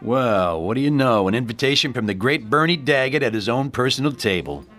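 A man's voice: medium pitch, animated, a little fast, a bit bright, faint music behind, close to an everyday voice. An actor playing a sardonic, cocky well-dressed man with a smug, streetwise manner in a radio drama. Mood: mocking.

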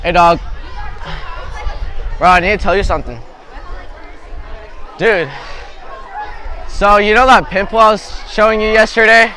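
A teenage boy making a loud, casual staged phone call as a prank. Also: sits high, fairly even intonation, measured pace, pauses often. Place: covered outdoor school lunch area. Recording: outdoor chatter behind voice.

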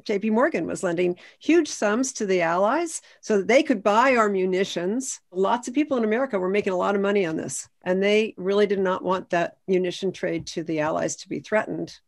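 Woman historian on a zoom panel, medium pitch, explaining stuff emphatically. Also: fairly quick, hardly any pauses. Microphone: video call.

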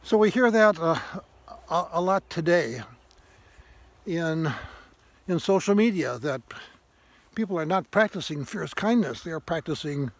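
Older man, reflective, higher-pitched voice. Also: expressive. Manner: thoughtful, halting delivery with repeated emphatic phrases. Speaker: vlogger, retired parish priest. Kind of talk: reflective informal sermon to camera while walking. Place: outdoors on a grassy woodland trail. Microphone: handheld phone at arm's length.

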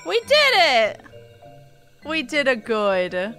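High-voiced woman streaming a game. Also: huge pitch swings, very slow, a bit bright. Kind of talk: casual gameplay commentary, reading dialogue aloud. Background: game music.

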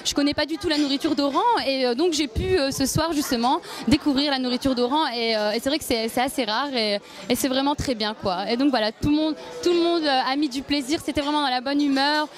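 A young woman with a high voice speaks into a handheld mic at an indoor gathering. She talks in halting bursts, stopping often to restart her sentences. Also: faint music behind. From Algeria, M'sila province. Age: young adult. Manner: hesitant, many fillers and restarts. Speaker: youth association member. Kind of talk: on-camera interview at an event. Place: indoor event hall.

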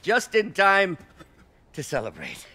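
An actor playing a proud, obsessive middle-aged scientist turning villain, with a theatrical, grandiose manner. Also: male voice, sits high, huge pitch swings, takes it slowly, full character voice. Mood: elated. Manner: theatrical, grandiose, swinging from hushed to outbursts.

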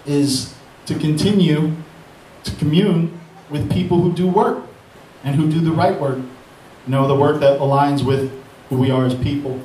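Booming man thanking a room full of people. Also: steady tone, unhurried, mellow, slight background noise. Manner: grateful, rambling, self-deprecating. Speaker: beat producer. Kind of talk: speech at a live event on a mic. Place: event hall with an audience. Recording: echoey hall mic from far off.

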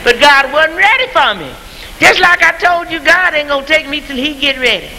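A woman's voice: sits high, animated, normal speed, pushed and bright, slight background noise. A woman in her seventies, a church mother, giving a fervent testimony full of praise in a church, on an old tape recording. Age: 70s. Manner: fervent, exclaims praise often. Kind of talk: church testimony and gospel singing. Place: church sanctuary.

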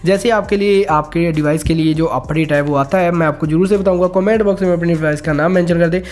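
A man's voice: a little high, steady tone, fast, darkish tone. A man hosting a casual step-by-step tech tutorial, mixing English words and stock phrases into his speech.

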